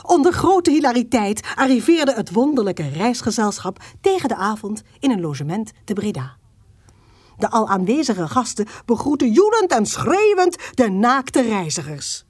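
A woman narrating a historical radio drama as an expressive storyteller, with dramatic, deliberate emphasis. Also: fairly high pitch, slightly rough, no room sound.

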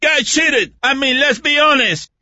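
An older man with a heavy Cuban accent reading out a shouted rant with gleeful energy, played back as dull lo-fi audio. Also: very high-pitched, a little slow, no room sound. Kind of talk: reading an on-air rant aloud.

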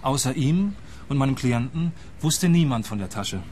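An actor playing a pushy, bumbling private detective in a radio play, speaking in an earnest, insistent way. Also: male voice, mid-range voice, expressive, normal speed, darkish tone, a little hiss or hum, natural voice.

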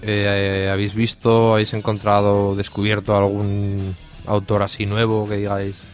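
A man's voice: on the low side, completely flat delivery, moderate pace, faint music behind. A man with a Spanish accent from Spain, asking questions in a casual radio chat.

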